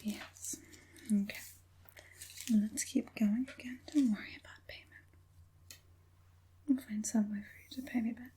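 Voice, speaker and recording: A woman performs an evil witch puppet master in an ASMR roleplay. The voice is an extremely breathy, soft and dark whisper. She speaks slowly and coaxingly, with a sly, falsely soothing sweetness. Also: on the high side, steady tone. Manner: coaxing, unhurried, sinisterly sweet.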